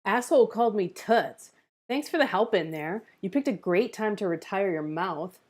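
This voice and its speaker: A woman's voice: mid-range voice, expressive, normal speed, a little mellow, close to an everyday voice. A woman acting a defiant, sarcastic police officer in a screenplay table read, with a blunt delivery. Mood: sardonic. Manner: sardonic, blunt.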